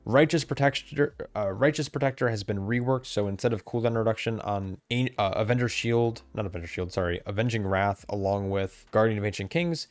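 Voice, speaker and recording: A man's voice: lowish voice, fairly quick, hardly any pauses, no echo. A man losing his voice, talking casually about game changes late at night, rambling and correcting himself as he goes. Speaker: game content creator. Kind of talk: recorded commentary on game changes. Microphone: desk mic, camera off.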